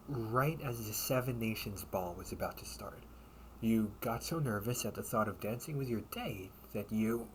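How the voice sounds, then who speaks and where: A man playing a loyal young knight in an audio roleplay, a caring, gently teasing friend who speaks in halting, formal phrases. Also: medium pitch, a little hiss or hum. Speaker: amateur audio roleplay performer. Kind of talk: intimate audio roleplay acting.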